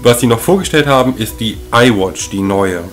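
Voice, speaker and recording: A young man speaks loosely and casually from a living-room sofa, filling gaps with ums and dry asides. His voice sits in an ordinary middle range. Faint guitar music plays quietly behind him. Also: mid-range voice, moderate pace. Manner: relaxed, hesitant, many fillers, mildly sarcastic. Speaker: tech vlogger. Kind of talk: casual talk to camera.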